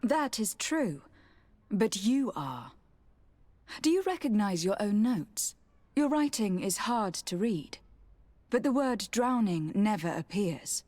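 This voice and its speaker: An actress playing a courteous but insistent noblewoman diplomat in a video game, speaking formally and in a measured way. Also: medium pitch, very animated, a little mellow. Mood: firm, authoritative. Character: poised, authoritative young noblewoman legate, courteous but insistent. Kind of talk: dramatic video game acting.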